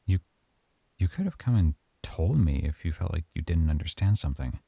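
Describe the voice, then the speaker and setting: Deep, gravelly male lead for a close-mic ASMR roleplay, dry sound, calm and measured. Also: dry recording. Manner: calm, measured, softly stern. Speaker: audio roleplay performer as a professor.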